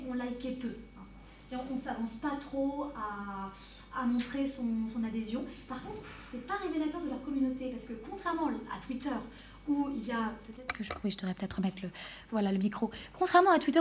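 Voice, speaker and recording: A woman's voice: high voice, darkish tone. A woman researcher presenting at a conference panel, then answering questions with frequent restarts and hesitations. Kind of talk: academic conference talk and Q&A.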